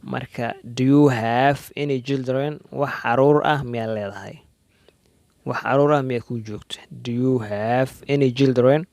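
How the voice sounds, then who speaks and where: A man with a Somali accent teaching English conversation, saying each English phrase slowly and clearly and then explaining it quickly in Somali. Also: mid-range voice, fairly even intonation, darkish tone, no echo, clean studio sound. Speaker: language teacher. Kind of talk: slow English dialogue drills with Somali explanations.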